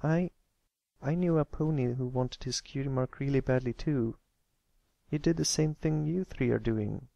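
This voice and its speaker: Earnest little boy pony, played by a man, gentle and hesitant, lifted voice, storybook cartoon style. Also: mid-range voice, normal speed, vocal fry, dry recording, dull audio, voice pushed up, close to an everyday voice. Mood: gentle, reassuring. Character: shy, earnest young male cartoon pony, comforting a friend.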